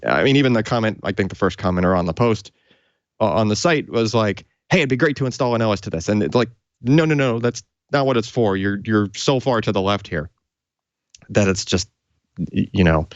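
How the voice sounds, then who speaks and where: Male tech co-host, low register, enthusiastic unscripted explainer, dry and slightly dull sound. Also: dry recording. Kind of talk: unscripted technical explanation, conversational.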